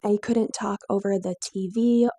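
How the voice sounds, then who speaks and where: A woman's voice: medium pitch, fairly even intonation, normal speed, warm tone, no room sound, studio quality, soft background music. A woman in her twenties with a weak, strained, breaking voice, telling her own story to camera in a candid, lightly self-mocking way. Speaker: vlogger.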